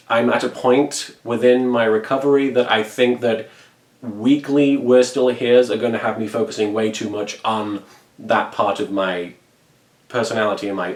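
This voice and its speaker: A man speaking earnestly and conversationally, giving a casual update to supporters as a web video creator. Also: on the low side. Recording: echoey room.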